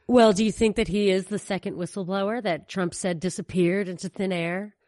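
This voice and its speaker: A woman's voice: medium pitch, steady tone, a little fast, a little mellow, no echo, studio quality. An American woman co-hosting a podcast, giving casual, opinionated and emphatic political commentary. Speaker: podcast co-host.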